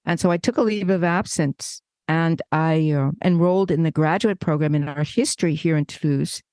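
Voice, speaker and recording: An older woman co-hosting a podcast, telling stories in a lively, conversational way. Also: sits low, normal speed, dry recording, studio quality. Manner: animated storyteller, acts out remembered dialogue. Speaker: podcast co-host. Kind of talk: casual conversation.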